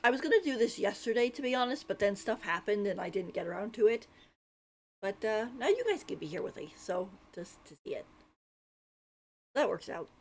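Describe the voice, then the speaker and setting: A woman's voice: medium pitch, lively intonation, fairly quick, a little hiss or hum. A woman streaming a video game, chatting informally and thinking aloud as she goes. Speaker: livestreamer. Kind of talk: casual game stream chat.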